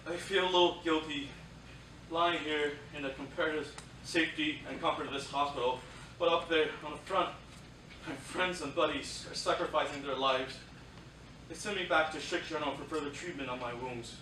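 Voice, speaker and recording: Man acting a bright-voiced wounded soldier. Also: a little high, normal speed. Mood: rueful, sober. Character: modest wounded young soldier, guilty, writing from hospital. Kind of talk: staged play reading, letter monologue. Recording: echoey theatre, distant mic, faint noise.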